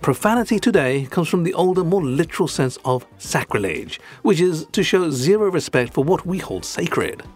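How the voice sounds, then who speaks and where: Prim British man with lively, animated delivery. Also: fairly high pitch, normal speed, hardly any pauses, slightly rough. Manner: prim, formal, wordy. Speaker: podcast host. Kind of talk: scripted comic language lesson.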